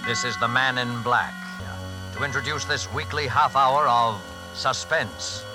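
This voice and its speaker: A man's voice: medium pitch, a little slow, rough recording. Old 1940s radio announcer guy, dramatic and formal intro, music playing behind him. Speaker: radio show announcer-host.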